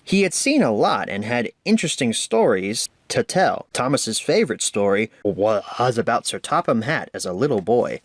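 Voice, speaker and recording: A man narrating a children's storybook aloud with deliberate phrasing. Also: medium pitch, sing-song, no echo.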